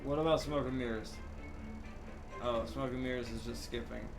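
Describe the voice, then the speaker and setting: Guy streamer riffing on a game, mid pitch, casual jokey asides, game music going behind him. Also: fairly even intonation, moderate pace, creaky, poor audio. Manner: joking, mocking asides. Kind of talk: casual reaction commentary over gameplay.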